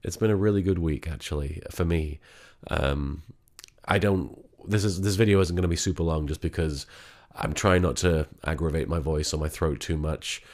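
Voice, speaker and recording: A man talking quietly and haltingly in a candid vlog, sick, with a gravelly voice and many restarts. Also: extremely low pitch. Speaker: vlogger.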